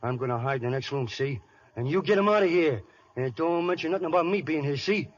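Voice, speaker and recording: A man's voice: on the high side, expressive, quick pace. An actor playing a cocky, threatening small-time American gangster with slangy wise-guy talk in an old radio play. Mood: menacing. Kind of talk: 1930s-40s radio gangster acting. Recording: muffled, hissy old radio broadcast.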